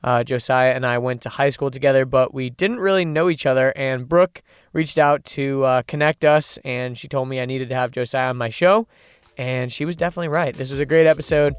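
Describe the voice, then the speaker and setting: A man's voice: medium pitch, fairly quick, clear, resonant tone. A young man hosting a podcast, speaking in an informal, friendly, rambling way. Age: young adult. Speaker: podcast host. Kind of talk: casual podcast interview.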